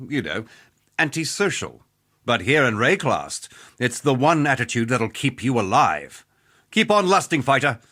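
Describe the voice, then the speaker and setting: A man's voice: mid-range voice, a bit bright. A man playing a cocky, jovial middle-aged mercenary weapon master in a video game, with wry, boastful, roguish banter. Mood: frank, encouraging. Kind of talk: scripted game character dialogue.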